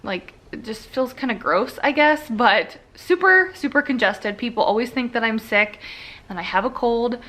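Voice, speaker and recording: A young woman talks through a casual vlog update in a bright, slightly high voice. She sounds a little congested and sniffly, and she rambles along with lots of fillers and easy asides. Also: animated, moderate pace. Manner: chatty, rambling, with frequent fillers and laughs. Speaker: vlogger.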